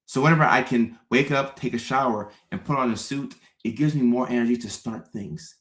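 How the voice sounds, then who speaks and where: A man in his thirties from Ghana, hosting a relaxed livestream and chatting with viewers, often repeating his phrases. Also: medium pitch, fairly quick. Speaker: host. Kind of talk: casual livestream chat.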